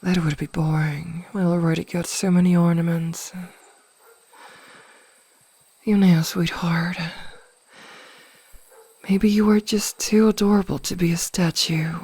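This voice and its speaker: A low, velvety-dark female mage played by a woman in a hypnotic ASMR fantasy roleplay, possessive and smugly sweet. She takes her time with every phrase, coaxing and often whispering, very close to the microphone. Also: slightly rough. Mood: teasing, doting. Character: possessive, smugly teasing adult female mage toying with a captive burglar. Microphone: close microphone, dry.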